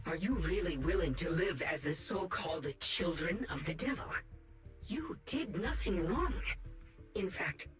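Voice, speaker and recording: An actor playing a zealous game villain who preaches unity in a grandiose, coldly protective manner. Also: male voice, on the high side, a bit bright, recorded from a distance. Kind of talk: video game villain monologue.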